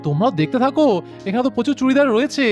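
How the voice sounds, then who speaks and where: A man's voice: very high-pitched, very animated, racing, darkish tone, soft background music. A man voicing an impatient husband doll on a family shopping trip in a doll story, in a hurrying manner. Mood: brisk, agreeable. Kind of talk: doll-story role play.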